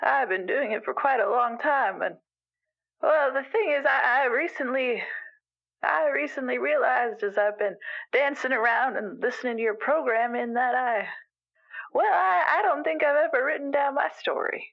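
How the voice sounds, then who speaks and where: Creaky, high-voiced elderly weaver played by a woman. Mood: hesitant, kindly. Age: older. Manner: halting, rambling, folksy storytelling. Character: elderly weaver animal, gentle, wistful, rambling advice-giver. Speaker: caller to a radio show. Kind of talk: improvised audio drama, radio call-in. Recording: dull lo-fi radio call sound.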